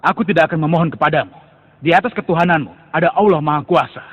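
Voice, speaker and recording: A man voicing a defiant young prophet who speaks fast and boldly. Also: a little high, natural voice. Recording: distant echo, muffled.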